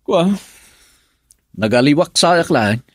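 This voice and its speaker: An Ilocano actor playing a brooding, regretful husband who drinks in a radio drama, with a gravelly, husky voice. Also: male voice, on the high side, lively intonation, slow pace. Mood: irritated, defensive. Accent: Filipino, Ilocano. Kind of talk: Ilocano radio drama acting with inner monologue.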